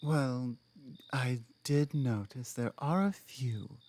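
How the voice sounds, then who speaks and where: A strong, guarded orc companion played by a woman speaks in a low female voice at a very slow pace. She is earnest and proud, breaking her thoughts into deliberate phrases with weighty pauses. It is an intimate ASMR audio roleplay addressed straight to the listener. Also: a little mellow, no echo, exaggerated voice. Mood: earnest, bitter.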